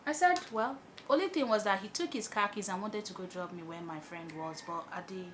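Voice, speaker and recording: Young Nigerian woman, animated storytime vlogger, mid-pitched voice. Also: a little fast, noisy background. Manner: animated, exclaiming, chatty storytelling. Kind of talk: storytime while doing makeup.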